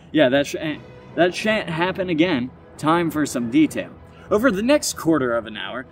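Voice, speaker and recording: A man's voice: mid-range voice, recorded from a distance, poor audio. A man telling a comic story aloud, reading dramatically with informal asides and stumbling self-corrections.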